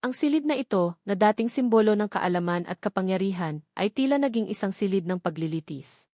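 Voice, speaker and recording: Female narrator for scripted romantic drama storytelling; medium pitch, dry close sound. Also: animated, brisk, warm tone, no room sound.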